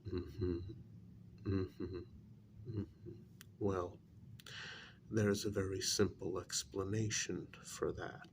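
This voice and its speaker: A man acts out a grave male spiritualist who talks extremely slowly in a low, soft, gravelly voice. He picks his words with care and hesitates before hard news, in a hushed ASMR role-play style with background noise. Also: flat delivery, exaggerated voice. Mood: calm, patient. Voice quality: soft, low, creaky voice, breathy in places. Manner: very slow, deliberate, hesitant, with long pauses.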